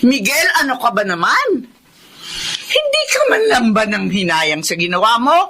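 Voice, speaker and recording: A Filipino actress playing a grasping, envious older sister who scolds and nags in a radio drama. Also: female voice, a little high, sing-song, normal speed, over music. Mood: reproachful, upset. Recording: noisy recording with clicks.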